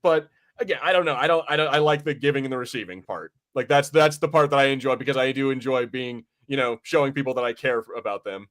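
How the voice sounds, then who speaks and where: Man hosting, mid-pitched casual voice. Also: fast, a bit bright. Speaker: podcast host. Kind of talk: casual chat.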